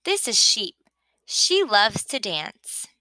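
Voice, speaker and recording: Woman, storybook narrator, expressive, unhurried pace, highish bright voice, dry recording. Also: dry recording, low-quality recording. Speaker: storybook reader. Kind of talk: expressive children's read-aloud narration.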